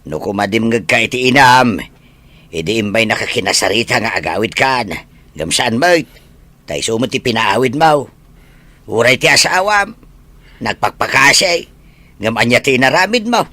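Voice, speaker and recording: A man's voice: medium pitch, lively intonation, moderate pace, pauses often, bright, forceful tone, no room sound. An Ilocano man playing a stern, reproachful older father in an audio drama.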